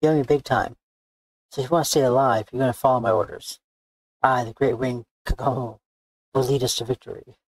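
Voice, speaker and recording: A man's voice: mid-range voice, fairly even intonation, a little fast, no echo, lightly acted, low-quality recording. A man voicing a cocky, bossy young woman tracker, boastful and blunt, reading visual novel game dialogue. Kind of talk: character read-aloud of game dialogue. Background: background music.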